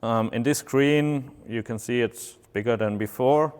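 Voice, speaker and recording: A man whose first language is German gives a halting product walkthrough, pausing often on filler sounds. His voice is smooth and resonant. It carries a long hall reverb. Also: medium pitch, darkish tone. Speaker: product presenter. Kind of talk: product unboxing demonstration.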